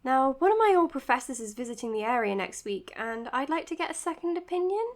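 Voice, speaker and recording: Female psychiatrist, higher-pitched voice, dutiful, precise, professional, audio drama. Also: very animated, fast talker. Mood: concerned. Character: earnest, dutiful young woman psychiatrist, careful and caring. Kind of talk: audio drama acting as spoken case notes and dialogue.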